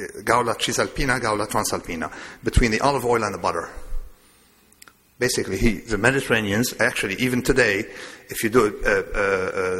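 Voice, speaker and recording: A man's voice: mid-range voice, pushed and bright. A man from Lebanon giving a discursive public lecture, wandering between asides with a self-amused touch. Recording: long hall reverb.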